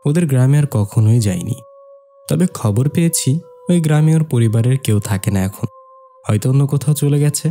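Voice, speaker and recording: A man playing a bold, mischievous young man who narrates and acts in an animated horror tale. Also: mid-range voice, brisk, soft background music, lightly acted. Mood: wistful. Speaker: narrator and character. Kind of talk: scripted horror cartoon narration and dialogue.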